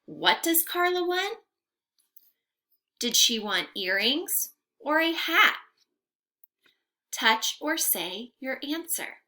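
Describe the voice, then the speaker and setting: A woman's voice: high-pitched, very animated, slow pace, pauses often, bright, forceful tone. A young woman reading aloud to small children as a teacher, in a sing-song, carefully enunciated way, putting questions to her listeners. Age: young adult. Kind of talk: interactive children's read-aloud lesson. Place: room at home.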